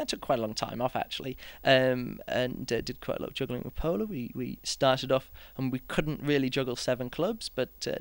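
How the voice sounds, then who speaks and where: A man's voice: mid-range voice, clean tone, no room sound. A man chatting casually as a podcast host, in a rambling, hesitant way and often restarting his sentences. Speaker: podcast host and juggling performer. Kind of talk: casual podcast chat, reviewing his year.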